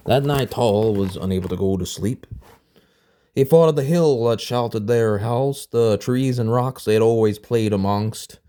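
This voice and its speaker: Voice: mid-range voice, measured pace, mellow. A storybook narrator reading aloud informally, now and then stumbling over a word and rereading it. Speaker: storybook reader.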